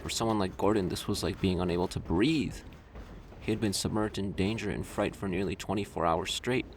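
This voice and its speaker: A man's voice: deep voice, steady tone, brisk, a little hiss or hum. A man reading literary scripted narration for an audio drama. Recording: loud music under the voice. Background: loud music.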